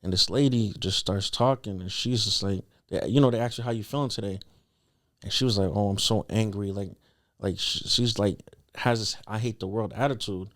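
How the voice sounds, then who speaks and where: A man's voice: lowish voice, moderate pace, fairly bright tone. A young man telling a personal story as a podcast guest in a casual, slangy, earnest conversational way.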